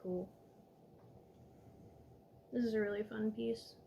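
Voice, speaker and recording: A woman walking viewers step by step through a toy building set in a calm, instructive way, a little hoarse from losing her voice. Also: mid-range voice, takes it slowly, a little hiss or hum.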